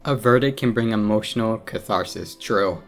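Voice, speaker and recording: A man's voice: fairly low pitch, normal speed. A man doing casual playthrough commentary as a gaming streamer, reading dialogue choices aloud. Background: game music.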